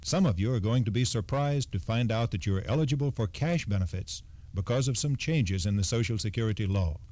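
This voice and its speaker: A man giving a scripted 1960s radio explanation as an expert, measured, formal and instructive. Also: mid-range voice, warm tone, no echo. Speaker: Social Security expert guest.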